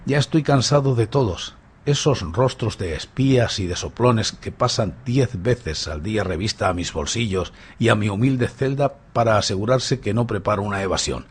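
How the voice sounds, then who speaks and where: A man playing a suave, playful, boastful gentleman thief in a radio drama, with mocking, grandly courteous theatrical delivery. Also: on the low side, normal speed, husky. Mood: mock-courteous, wry.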